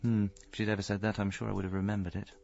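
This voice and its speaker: An actor playing a rueful, self-pitying middle-aged husband, narrating his misfortunes deadpan in a radio comedy. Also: fairly low pitch, rapid-fire, creaky. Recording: slightly dull audio, faint music.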